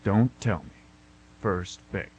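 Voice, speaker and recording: A man's voice: low voice, slow, a little mellow, a little hiss or hum, poor audio. A man playing an impatient, sarcastic police officer with a curt, unimpressed manner in an amateur radio drama. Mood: sardonic, dismissive.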